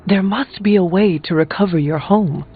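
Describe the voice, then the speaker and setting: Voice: mid-range voice, moderate pace, husky, narrow, tinny audio. An actor playing a resolute, stoic young warrior in a video game, speaking in a formal, blunt, earnest way. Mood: sympathetic. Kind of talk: video game character dialogue. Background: music.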